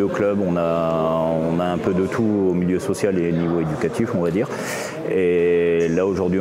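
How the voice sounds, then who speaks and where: A man who coaches at a boxing club answers interview questions, hesitating often with fillers and restarts. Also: deep voice.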